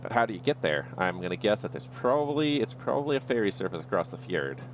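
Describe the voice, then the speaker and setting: Low gravelly man calmly talking through a flight. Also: fast, a little hiss or hum, low-quality recording. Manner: easygoing, talks himself through each step. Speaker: flight sim streamer. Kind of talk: livestream commentary, thinking aloud.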